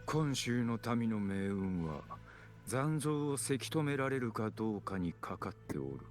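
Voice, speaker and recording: Actor as a stern, dignified official, music behind. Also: male voice, mid-range voice, sing-song, fairly quick, close to an everyday voice. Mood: solemn admonishing. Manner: formal, grave, admonishing. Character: stern, measured male official with archaic dignified speech. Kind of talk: anime game voice acting in Japanese.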